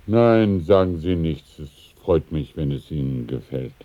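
A courteous old shopkeeper with a very low voice, deferential and discreet. He speaks in radio play style, on a dry, dull recording. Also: animated, unhurried, no room sound, exaggerated voice. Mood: courteous, obliging. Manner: deferential, fussily polite. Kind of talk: German radio play acting.

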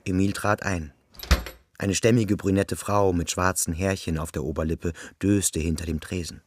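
A man reading scripted literary narration for a radio drama in a measured, matter-of-fact way. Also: low-pitched, fairly even intonation, background noise.

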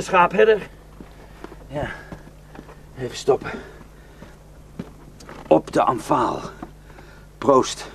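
A man's voice: on the high side, vocal fry, slight background noise, full character voice. An actor playing a cynical, taunting Iraqi man in a radio drama, declaiming and mocking. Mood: scornful, fired up. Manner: mocking, declamatory, swinging from hushed to shouting.

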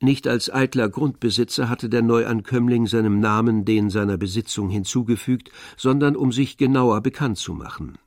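A man's voice: mid-range voice, normal speed, few pauses, no room sound, clean studio sound. A man narrating a radio drama adapted from a novel in a scripted literary style.

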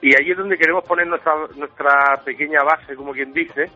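A man in his thirties from Almería, speaking in a relaxed, casual recorded message. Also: a little high, brisk. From Almería, Spain. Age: 30s. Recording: tinny phone-like line with faint music.

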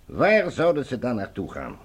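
Cold, commanding, husky male ruler. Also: medium pitch, huge pitch swings, full character voice. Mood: calculating. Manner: authoritative, measured, condescending. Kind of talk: science fiction radio drama acting.

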